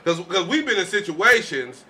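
High, bright-voiced man debating casually. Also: sing-song, normal speed. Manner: challenging, questioning, slangy. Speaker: podcast host. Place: small indoor podcast room. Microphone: boom-arm studio microphone.

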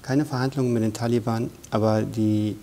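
A man's voice: lowish voice, fairly even intonation, normal speed, mellow. A man in his thirties, a guest in a studio interview, speaking in an earnest, emphatic way. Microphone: lapel.

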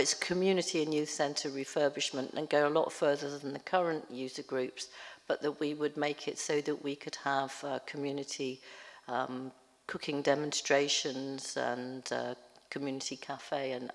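Woman councillor, croaky, very low voice, earnest, hall reverb. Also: level tone, moderate pace.

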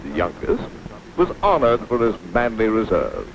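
An actor playing a pompous mock-heroic narrator of a 1940s cartoon, florid and theatrical. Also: male voice, lowish voice, wildly swooping pitch, moderate pace, creaky, exaggerated voice. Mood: grandly admiring. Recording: muffled 1940s film soundtrack, music behind.

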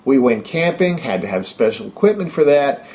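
A middle-aged man narrates a personal travelogue in a medium-pitched voice. His delivery is upbeat and keen, like someone recounting his own trip over a series of photos. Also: very animated, normal speed, a little mellow.

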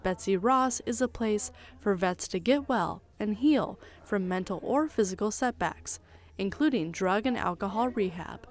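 Woman reporting news in measured tones. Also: mid-range voice. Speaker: television reporter. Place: television studio.

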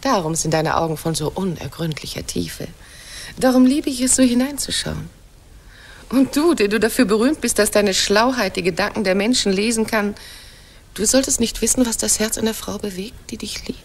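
Pointed, jealous wife of forty. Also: female voice, mid-range voice, lively intonation, normal speed. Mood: adoring. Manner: theatrical, pointed, reproachful. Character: proud, jealous, sharp-tongued wife Penelope, about forty. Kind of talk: German radio play acting.

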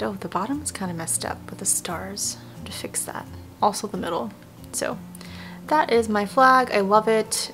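A woman's voice: medium pitch, a bit bright. A young woman talking casually over a game tour in a chatty, rambling way, her voice a bit worn, often dropping to a near whisper. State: voice a little worn. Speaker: video creator. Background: faint game music.

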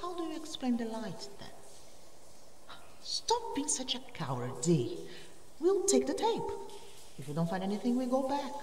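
Woman acting bold cave explorer, lively and echoing. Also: fairly high pitch, moderate pace, long pauses. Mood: urgent, goading. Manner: eager, goading, dramatic. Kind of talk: audio drama acting. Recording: echoey cave-like reverb, distant, faint noise.

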